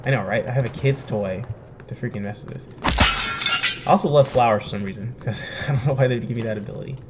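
A young man with a slightly hoarse voice, chatting casually and jokingly while he plays and reads game text aloud. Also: mid-range voice, a little fast, noisy background. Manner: chatty, joking, laughs at himself. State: slightly hoarse, says he is sick and losing his voice. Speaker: streamer playing a video game. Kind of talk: casual let's-play commentary, reading in-game text aloud. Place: home room, webcam in corner.

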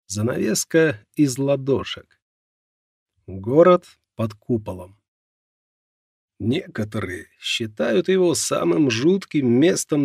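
Man, audiobook narration, husky, gravelly voice, measured phrasing, dry close sound. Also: medium pitch, darkish tone, no echo.

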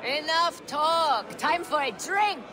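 A woman voicing a hospitable, hearty giantess in a video game, with a theatrical, measured storytelling delivery. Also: high voice, animated, over music. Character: hospitable, hearty female giant, sea lord's daughter. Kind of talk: video game cutscene acting.

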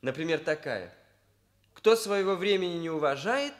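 An actor playing an earnest, self-important young trainee hairdresser in a radio drama, speaking in solemn, pedantic, stilted phrases. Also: male voice, a little high, expressive, moderate pace, close to an everyday voice. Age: young adult. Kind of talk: Soviet radio drama acting.

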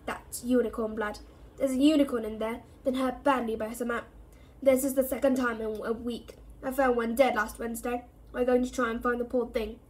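A woman voicing a gruff, blunt, protective male gamekeeper in a storybook, growling in rustic, folksy phrases. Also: a little high, flat delivery, moderate pace, darkish tone, lightly acted, rough recording. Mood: grave warning. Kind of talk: storybook character reading.

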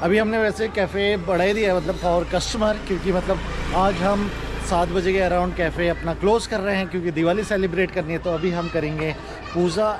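A man's voice: sits high, steady tone, moderate pace. A man chats casually as a vlog host, mixing in English words on a handheld camera. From India. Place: busy cafe and roadside, indoors and outdoors. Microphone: camera held at arm's length. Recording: very noisy handheld recording, street and room ambience. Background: loud urban ambience, vehicles.

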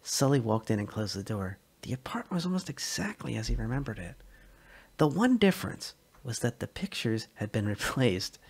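A man narrating a science fiction short story as a dramatic reading, measured and expressive. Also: mid-range voice, breathy edge.

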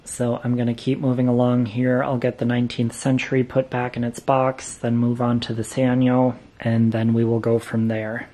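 A man's voice: mid-range voice, completely flat delivery, moderate pace, few pauses, warm tone. A man giving casual, matter-of-fact updates in a vlog about his collection. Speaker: vlogger showing a fan collection. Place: basement display room with exposed joists.

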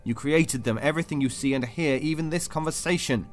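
An actor playing a calm, clinical and controlling interrogator in an audio drama, speaking in a measured, patronising way. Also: male voice, fairly high pitch, soft background music, close to an everyday voice. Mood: probing.

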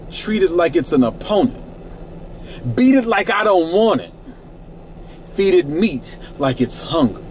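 A man's voice: fairly high pitch, a little mellow, a little hiss or hum. A middle-aged man reciting his own rhyming spoken word poetry in a laid-back, rhythmic way from inside a parked minivan at night.

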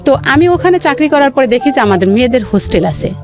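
A woman's voice: high voice, sing-song, quick pace. A woman with a Bangladeshi accent telling her life story in a radio interview, emphatically repeating phrases for stress. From rural Bangladesh. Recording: music under the voice.

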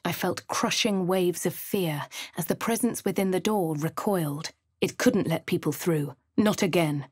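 Posh female adventurer, voiced by a woman, crisp English delivery, lowish pitch, dry studio sound. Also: no echo, lightly acted. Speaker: player character voice lines. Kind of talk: game voice lines and narration.

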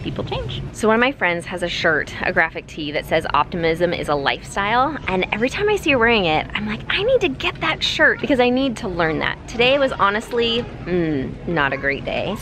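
A woman's voice: mid-range voice, noisy background. A woman in her thirties, a mother vlogging casually to a handheld camera at arm's length, chatty and playful. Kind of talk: casual vlog chat. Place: indoors, store and home.

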